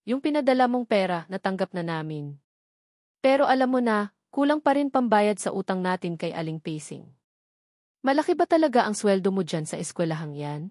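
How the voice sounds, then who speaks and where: A woman's voice: medium pitch, very animated, normal speed, a little mellow, dry recording, studio quality, lightly acted. A woman voicing a worried, motherly provincial mother in a storybook. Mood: pressing. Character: worried provincial mother, money-minded, loving.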